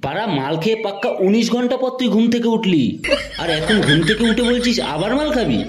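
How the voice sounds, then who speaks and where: A man's voice: high-pitched, lively intonation, fast talker, noisy background. A man voicing a crude young club friend in a Bengali comedy cartoon, exclaiming and bantering. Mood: exasperated.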